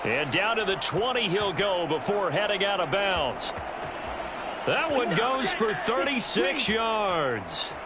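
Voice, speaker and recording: A man's voice: sits high, very animated, slow pace, a loud crowd, heavily acted. A man playing a polished American play-by-play announcer in a football video game. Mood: announcing. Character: polished male football play-by-play announcer, excitable on big plays. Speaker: play-by-play commentator. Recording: loud game noise behind voice.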